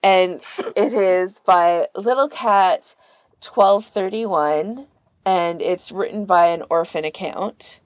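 A woman's voice: lowish voice, slow, no echo, dull audio. A woman podcast co-host chatting casually while she gives recommendations. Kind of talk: casual chat, giving recommendations.

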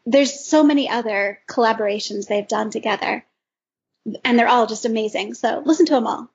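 Woman podcast panelist, gushing fan energy, raspy edge to the voice, slightly muffled recording. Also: on the high side, a little fast, darkish tone. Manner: enthusiastic, gushing. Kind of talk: casual chat, recommending fan audio stories. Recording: dull lo-fi audio.

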